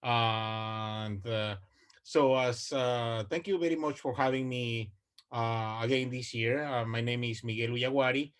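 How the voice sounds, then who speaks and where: A man's voice: mid-range voice, steady tone, a little slow, fairly bright tone, clear, resonant tone. Guy in his 40s giving a research talk on a video call, lots of uhs and restarts. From Ecuador. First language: Spanish. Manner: hesitant, many fillers and restarts. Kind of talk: online presentation.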